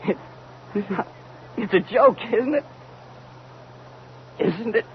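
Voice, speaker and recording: Distraught, halting spaceship radioman. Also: extremely high pitch, expressive, very noisy, full character voice. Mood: shocked. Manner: halting, broken phrases, anguished. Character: distraught, fearful spaceship radio operator relaying faint messages. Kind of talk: old-time radio drama acting. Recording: muffled old radio recording, loud music behind.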